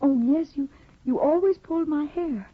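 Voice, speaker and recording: An actress playing a frightened, pleading young wife who stammers anxiously, in a 1950s radio drama. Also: female voice, high-pitched, normal speed, no room sound, close to an everyday voice. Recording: tinny, dry 1950 radio broadcast.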